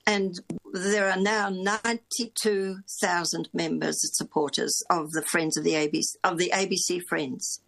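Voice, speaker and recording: A woman's voice: on the low side, fairly even intonation, normal speed, vocal fry, a bit bright. A woman in her nineties from New Zealand, a guest on a radio interview, talking in a reflective, meandering way with many restarts. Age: 90s. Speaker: guest, founding member of a broadcaster supporters' group.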